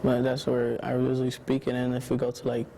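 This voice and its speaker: A teenage boy answering documentary interview questions in a laid-back, halting way, with lots of "like". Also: medium pitch, monotone, vocal fry, dark tone, a little hiss or hum, low-quality recording. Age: teens. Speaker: student interviewee.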